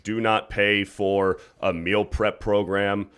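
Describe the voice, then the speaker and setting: Bright-voiced lower male livestream host. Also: level tone, slow pace, clear, resonant tone. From United States. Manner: loose, swearing, reads donations aloud. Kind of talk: casual livestream chat, reading super chats.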